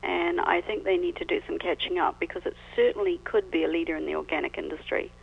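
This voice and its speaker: A middle-aged woman from New Zealand with a New Zealand accent, answering questions as an expert guest in a friendly, measured way over the phone. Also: lowish voice, fairly even intonation, vocal fry, breathy edge. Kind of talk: phone interview. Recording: tinny phone line.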